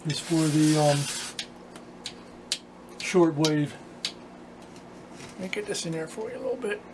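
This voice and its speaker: A man giving a casual hands-on repair walkthrough, speaking haltingly with pauses in mid-sentence. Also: fairly high pitch, a little mellow, a little hiss or hum. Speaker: vlogger repairing vintage radios.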